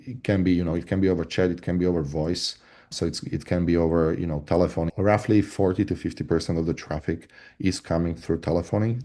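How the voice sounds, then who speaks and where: Man in his thirties from Slovakia; low, mellow voice, halting and full of restarts, on a video call. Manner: halting, many restarts and fillers. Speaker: voice agent platform lead. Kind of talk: casual panel discussion.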